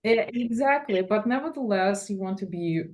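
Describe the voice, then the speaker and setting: A middle-aged woman from Latvia giving casual interview answers, with hesitant, pausing phrasing. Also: mid-range voice, animated, a little mellow, low-quality recording. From Latvia, former USSR. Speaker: fashion designer, interview guest.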